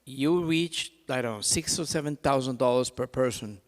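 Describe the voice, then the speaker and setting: A man's voice: medium pitch, normal speed. A man moderating a conference panel in an informal, chatty way, often repeating his words. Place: large conference hall. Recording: reverberant hall, PA sound.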